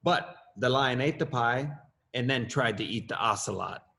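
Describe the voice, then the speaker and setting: A middle-aged man, an assistant principal, reading his own children's story aloud with lively rises in pitch. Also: normal speed, vocal fry. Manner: expressive, lively rises on questions and exclamations.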